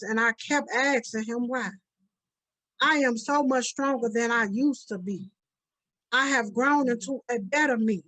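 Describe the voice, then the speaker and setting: A woman's voice: a little high, fairly bright tone, no room sound, rough recording. A middle-aged woman reciting her own poem aloud. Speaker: poet. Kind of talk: spoken poetry recital.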